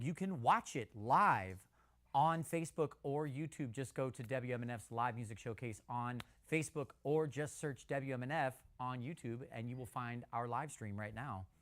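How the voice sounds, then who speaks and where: Laid-back male radio host with lots of uhs. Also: medium pitch. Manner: relaxed, filler-heavy patter. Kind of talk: casual live radio hosting. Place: radio station live music studio.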